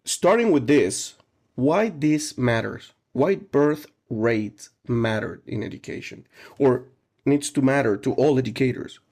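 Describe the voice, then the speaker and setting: A man's voice: medium pitch, animated, unhurried, darkish tone. A man hosting a casual teaching podcast, enthusiastic and jokey, laughing often as he introduces guests and asks questions. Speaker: podcast host.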